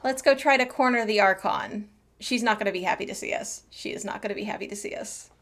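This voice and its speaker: A woman's voice: medium pitch, fast talker, creaky, a bit bright, a little hiss or hum. An American woman from the eastern US, chatting casually and playfully as she streams gameplay. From eastern United States. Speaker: game streamer. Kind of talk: casual live gameplay commentary. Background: game music.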